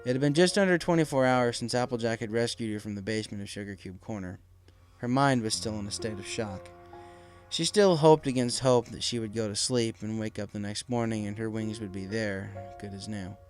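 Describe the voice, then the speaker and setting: A man's voice: lowish voice, fairly quick. A man narrating a dramatic story from a script as an audiobook reader. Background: faint music.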